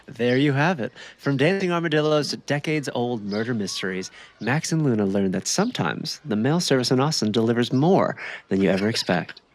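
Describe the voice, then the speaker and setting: A man's voice: medium pitch, expressive, fairly quick, lightly acted. A man reading scripted narration as the storyteller of a light comic mystery tale. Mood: wrapping up, upbeat.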